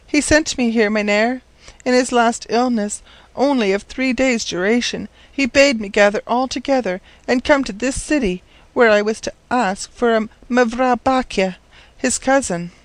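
A man's voice: very high voice, measured pace, dry recording, voice pushed up, poor audio. A man voicing a forlorn, pleading teenage orphan girl in a storybook, earnest, plaintive and formally polite.

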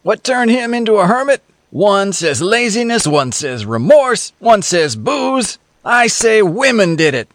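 A man voicing a cynical, folksy storyteller with a rasping chuckle, talking in old slang. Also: sits high, big pitch swings, measured pace, pitched above the performer's own voice, full character voice. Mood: sardonic.

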